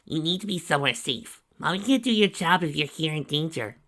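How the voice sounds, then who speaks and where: A woman voicing a worried mother pony who talks gently but firmly to her daughter. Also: low voice, expressive, a little fast, pitched above the performer's own voice, exaggerated voice. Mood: gentle.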